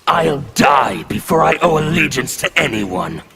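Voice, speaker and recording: An actor playing a menacing male anarchist warrior in a video game, with scornful, threatening delivery and a gravelly voice. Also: fairly high pitch, big pitch swings, measured pace, over loud music, heavily acted. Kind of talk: video game intro dialogue.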